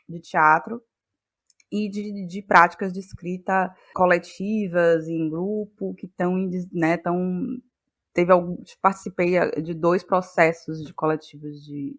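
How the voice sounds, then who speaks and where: Low-pitched Bahian woman in conversation. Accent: Brazilian, from Bahia. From Salvador, Bahia, Brazil. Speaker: guest, playwright and actress. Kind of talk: conversational interview answers.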